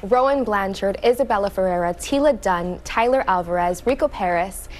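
Bubbly young actress from Hawaii. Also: female voice, medium pitch, animated. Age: young adult. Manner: bubbly, enthusiastic, quick. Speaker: actress guest. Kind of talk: studio interview. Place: TV studio.

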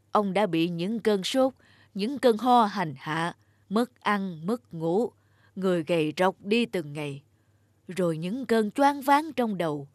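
A woman's voice: medium pitch, dry recording, clean studio sound. Woman voicing a solemn, stately Grim Reaper. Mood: stern. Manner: formal, stately. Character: solemn, commanding Grim Reaper who shows gratitude. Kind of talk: cartoon folk-tale acting.

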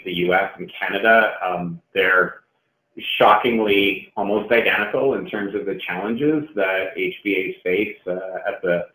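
A man with a low voice and a flat, steady delivery adds informal remarks at a meeting. The room rings around his words, as on a conference call. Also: reverberant, poor audio.

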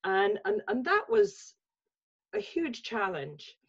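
A woman's voice: fairly high pitch, wildly swooping pitch, slow, breathy edge, lo-fi sound. A woman in her sixties with an English accent, talking reflectively as a guest on a video call from her home, with many restarts. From England. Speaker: guest, life coach. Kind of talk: casual video-call interview. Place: home room with bookshelves. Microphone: laptop webcam mic on video call.

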